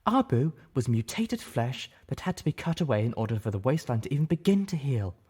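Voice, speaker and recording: A man's voice: mid-range voice, very animated, brisk, a little mellow, slightly rough, voice pushed up. A man voicing a fierce, righteous female unicorn in an audiobook, outraged and emphatic as if condemning.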